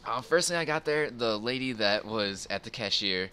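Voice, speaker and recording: A man's voice: medium pitch, expressive, moderate pace, pushed and bright, clean tone. A young man rambling casually through a personal story in an informal, crude, amused way. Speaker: storyteller in a gaming video. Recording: faint background noise.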